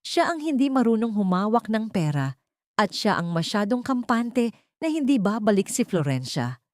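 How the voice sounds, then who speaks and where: A sharp-tongued mistress, acted by a woman narrator, hurls blame indignantly in a melodrama. Her pitch leaps high and swings widely, recorded close and dry. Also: very animated, normal speed, no room sound, natural voice. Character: sharp-tongued, resentful mistress, defiant and blaming. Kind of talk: dramatic audio drama dialogue.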